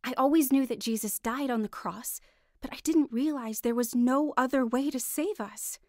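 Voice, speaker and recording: A woman's voice: sits high, normal speed, darkish tone, no echo, clean studio sound, natural voice. An actress playing an earnest, devout wife in a radio drama, acting and narrating her heartfelt testimony. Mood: earnest, eager.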